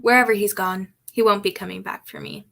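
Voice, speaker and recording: A woman's voice: mid-range voice, steady tone, brisk, vocal fry, sharp tone. Radio drama casting: young village servant girl, played by a woman, mid-range voice, defensive and plaintive. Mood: forlorn. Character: Mary, forlorn, defensive young village maid.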